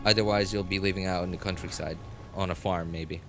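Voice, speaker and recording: A man's voice: sits low, steady tone, brisk, vocal fry. A man streaming a game, chatting casually and rambling, with many restarts and filler words. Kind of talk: casual chat while gaming on a live stream. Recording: loud game music behind. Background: loud game music.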